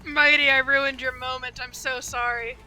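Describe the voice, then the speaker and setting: A woman live-streaming a game with casual, excitable, gushing commentary. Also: high voice, moderate pace, poor audio. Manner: excitable, gushing fan talk. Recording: game music behind the voice. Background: video game music.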